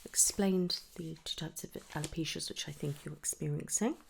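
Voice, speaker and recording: A soft-spoken woman in an ASMR medical roleplay, gently asking questions in a breathy voice that often slips towards a whisper. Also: low voice, slight background noise. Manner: gentle, unhurried questioning with pauses for answers. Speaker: ASMR performer playing a trichologist.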